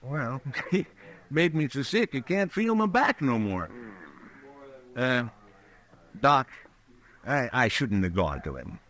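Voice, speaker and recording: A man's voice: medium pitch, big pitch swings, slow pace, pauses often, a bit bright. A man with a rural American accent acting a simple, good-natured, sheepish saloon bartender in a Western radio drama. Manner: folksy, rueful.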